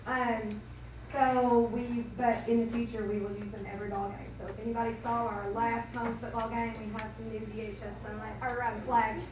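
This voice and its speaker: A hoarse woman coach rambles through thank-yous, correcting herself as she goes. Her slightly high voice is picked up from far off in a very echoey hall with steady background noise. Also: normal speed. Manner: rambling, self-correcting, chatty. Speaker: cheer coach. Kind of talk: banquet thank-you speech. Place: school banquet room, indoors.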